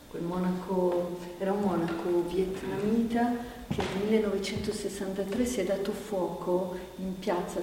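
A woman's voice: lowish voice, mellow, close to an everyday voice. A woman performing conversational stage theatre as a plain, questioning storyteller who repeats her words. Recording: very echoey hall, distant, faint noise.

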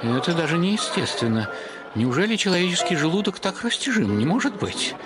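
A man's voice: fairly high pitch, sing-song, a little fast, a crowd in the background. An actor playing an anxious, indignant Frenchman in a radio short story, muttering to himself in fretful astonishment. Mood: astonished. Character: anxious, indignant Frenchman watching a glutton in horror. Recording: music behind the voice.

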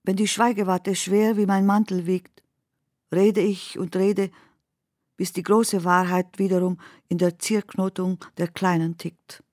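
A woman reciting poetry in a radio play with measured, deliberate phrasing. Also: medium pitch, level tone, darkish tone, no room sound, studio quality. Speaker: poet reading own text. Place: dry studio.

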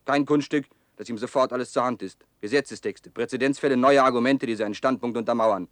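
An actor playing a disgusted, scornful press commentator in a radio drama, talking in an agitated way. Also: male voice, mid-range voice, fast, dry recording, clean studio sound, lightly acted. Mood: contemptuous.

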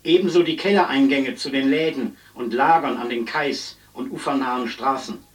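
An actor playing an earnest radio newsreader in a radio play, reading a formal, measured storm bulletin. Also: male voice, medium pitch, far from the mic, close to an everyday voice, rough recording. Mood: formal, urgent.

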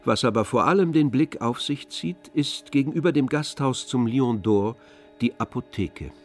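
A man narrating a literary radio drama from a script in a measured, descriptive way. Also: mid-range voice, soft background music.

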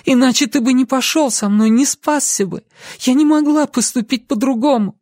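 A performer voicing a high-pitched, pleading young woman. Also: moderate pace, mellow, no room sound, studio quality. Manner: pleading, insistent. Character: desperate, weary young woman pleading with her beloved.

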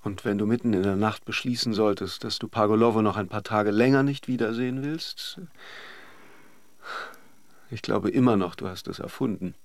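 An actor playing a wry, attentive lover in a German radio drama, understated and a little hesitant. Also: male voice, on the low side, moderate pace, no echo, natural voice. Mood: caring, teasing. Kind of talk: German radio drama acting, with reflective narration.

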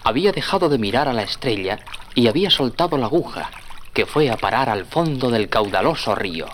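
Animated male children's story narrator. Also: mid-range voice, fairly quick, slight background noise.

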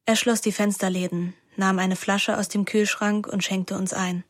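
An actress playing a melancholy teenage daughter, narrating a radio play in a measured, introspective way. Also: female voice, medium pitch, level tone, dry recording, clean studio sound, close to an everyday voice. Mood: numb, wistful.

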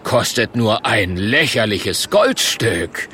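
A man voicing a greedy, bossy old monster showman in a children's story, grumbling and calling out in a drawn-out way. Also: on the low side, big pitch swings, sharp tone, slight background noise, heavily acted. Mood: boastful barking.

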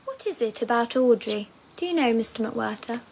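A woman's voice: on the high side, animated, moderate pace, creaky, noisy background. A woman with a British accent playing a spoiled, jealous young wife in a radio drama, petulant and cutting. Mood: catty.

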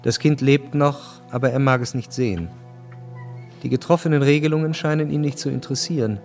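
Male actor sober narrator for a history radio play, medium voice, measured, faint music under. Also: medium pitch, fairly even intonation, a little mellow, natural voice. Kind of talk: scripted literary narration in a radio drama.

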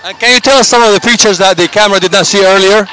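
A man's voice: high-pitched, very fast, pushed and bright, faint music behind, low-quality recording. A male reporter opening an on-location interview at an outdoor festival in an upbeat, formal way.

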